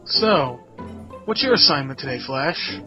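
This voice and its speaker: A man's voice: mid-range voice, animated, a little slow, lo-fi sound, music in the background, voice pushed up, natural voice. A man voicing a mischievous, mocking pegasus guard with a drawl, as a sidekick in a fan fiction reading. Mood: casual.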